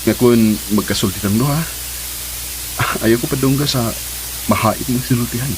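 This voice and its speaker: An actor playing a lovestruck, pleading suitor in a radio drama, speaking in an earnest, sentimental, imploring way. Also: male voice, mid-range voice, normal speed, lightly acted. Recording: static-laden, noisy radio drama audio.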